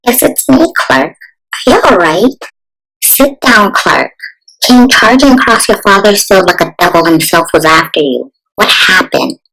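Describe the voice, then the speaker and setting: A woman's voice: medium pitch, lively intonation, a little slow, fairly bright tone, rough recording. An actress playing a wistful, heartbroken small-town sweetheart, telling her story in a dramatic motion comic style. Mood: concerned.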